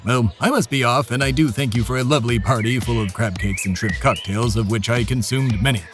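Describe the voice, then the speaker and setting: A man's voice: medium pitch, fairly quick, music in the background, lightly acted. A man playing a pompous, shameless, self-important podcast host in a comedic audio drama, with grandiose, formal diction. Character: pompous, shameless, self-important male talk-show adventurer, embodiment of America.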